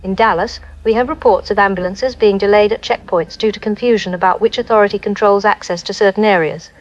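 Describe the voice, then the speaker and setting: An actress plays a female aid coordinator on a slightly dull, lo-fi line. She is middle-pitched, formal and articulate, giving a concerned, businesslike crisis briefing in a radio drama. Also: fairly even intonation, brisk, close to an everyday voice. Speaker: aid spokesperson. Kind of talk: scripted news interview.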